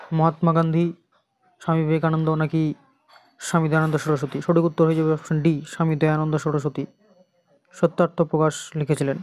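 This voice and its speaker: Even-toned Indian Bengali exam coaching teacher. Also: medium pitch. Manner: methodical, repeats questions and answers. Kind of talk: reading quiz questions and answers for an exam lesson.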